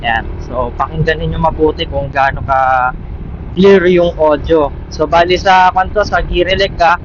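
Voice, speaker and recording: A man's voice: a little high, steady tone, normal speed. A man with a Filipino accent giving a casual vlog-style product demo, speaking informally into a helmet intercom mic. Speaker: motovlogger and product reviewer. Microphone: Bluetooth intercom inside motorcycle helmet. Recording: dull helmet intercom mic, loud noise.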